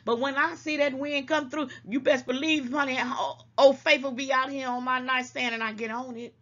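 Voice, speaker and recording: A woman's voice: high-pitched, steady tone, moderate pace, a bit bright, muffled recording. An older woman hosting an online talk show, scolding and preaching to her viewers and calling them baby, at times a little congested. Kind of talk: casual commentary to viewers.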